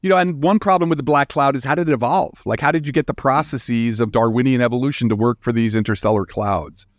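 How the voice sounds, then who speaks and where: A man's voice: medium pitch, dry recording, clean studio sound. A man speaking as an expert guest in a podcast interview, explaining informally and emphatically, with restarts and checking tags. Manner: informal, emphatic, halting restarts with frequent "you know" and "right?". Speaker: interview guest, scientist and book author.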